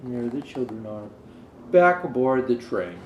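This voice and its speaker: A man's voice: mid-range voice, unhurried, dull audio. A man reading a children's Christmas story aloud expressively as a storyteller, adding casual asides. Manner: expressive, raises voice for quoted speech. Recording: roomy echo, faint background noise.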